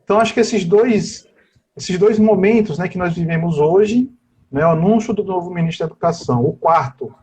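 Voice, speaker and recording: A man's voice: high voice, brisk, a little mellow, husky. A man, a teacher giving an interview, talking at length about education policy in a discursive way and tagging his sentences with little questions. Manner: discursive, with constant tag questions. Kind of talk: political interview over a live stream.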